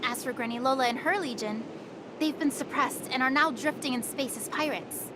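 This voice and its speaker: A woman playing a chatty, cheeky young guide in a sci-fi anime game, with a teasing, expressive delivery. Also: sits high, normal speed, background noise, natural voice. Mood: explaining, confident. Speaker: game character voice. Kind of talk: anime game voice acting, expository dialogue.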